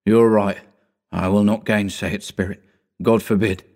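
Audiobook: male reader as a regretful old miser, low and subdued, muttered delivery. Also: level tone, moderate pace, darkish tone, close to an everyday voice. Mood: glum.

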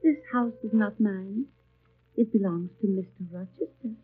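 An actress playing a kindly, chatty older housekeeper in a 1930s radio drama, husky, genteel and motherly. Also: medium pitch, normal speed. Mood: kindly, confiding. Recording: muffled, tinny 1930s radio broadcast.

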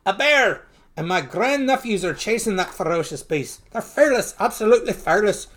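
A man's voice: sits high, lively intonation, normal speed, fairly bright tone, pitched above the performer's own voice. A man voicing a grandiose, exclamatory old duck great-uncle while reading a comic story aloud. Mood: alarmed, grandiose. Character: Uncle Scrooge, excitable pompous old rich duck great-uncle.

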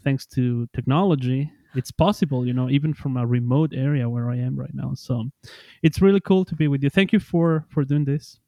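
A man in his forties hosting a podcast, speaking English as a second language, enthusiastic and halting with frequent restarts. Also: medium pitch, mellow, no room sound. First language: not English. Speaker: podcast host. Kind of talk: casual interview chat.

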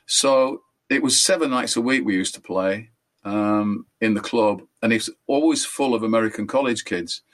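An older man, a musician being interviewed, telling rambling anecdotes in a relaxed way and correcting himself as he goes. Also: moderate pace. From England. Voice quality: high voice. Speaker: musician guest.

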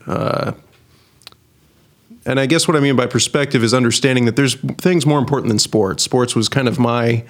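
A man from Idaho, a missionary guest telling his story in a measured, casual interview. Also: mid-range voice. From Idaho, United States.

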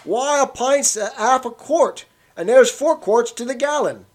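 A man's voice: very high voice, expressive, unhurried, pitched above the performer's own voice. A man voicing a stubborn, grumbling old London working man, dropping his aitches. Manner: querulous, pugnacious, dropped aitches.

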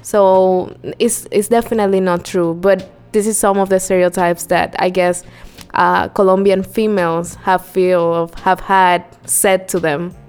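A woman's voice: fairly low pitch, flat delivery, unhurried, darkish tone, clean tone, soft background music. A woman hosting a casual interview, thoughtful and talking things through, often nodding along with short fillers. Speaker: host.

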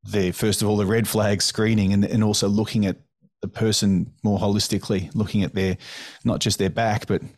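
Aussie guy hosting a podcast, lowish voice, dry close sound, lots of mm's and yeahs. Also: flat delivery, brisk, a little mellow, dry recording. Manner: thoughtful, affirming with frequent "mm"s. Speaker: podcast host. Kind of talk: conversational interview.

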